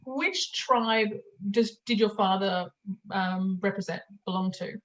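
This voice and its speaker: A woman's voice: medium pitch, slow pace, poor audio. Woman host asking questions, friendly, laughs easy, plain mid voice. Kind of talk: conversational interviewing.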